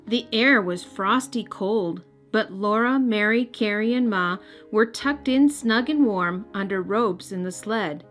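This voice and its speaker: A woman's voice: mid-range voice, measured pace, few pauses, a bit bright. A woman hosting and narrating a children's read-aloud, speaking expressively and directly to young listeners. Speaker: host and storybook reader. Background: music.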